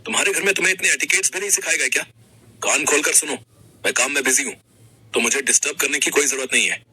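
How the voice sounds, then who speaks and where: A man's voice: fairly high pitch, fast, sharp tone, husky, exaggerated voice. A man voicing an arrogant, callous young husband and businessman, curt, scolding and dismissive in manner. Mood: angry, scornful.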